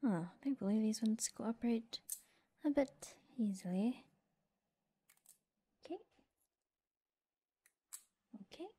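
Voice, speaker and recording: A woman playing a friendly, gently teasing mecha mechanic in an ASMR roleplay, soft-spoken and often whispering, murmuring reassurance as she works. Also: on the high side, animated, breathy edge, exaggerated voice. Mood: gentle, focused. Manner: unhurried, murmuring reassurance while busy working.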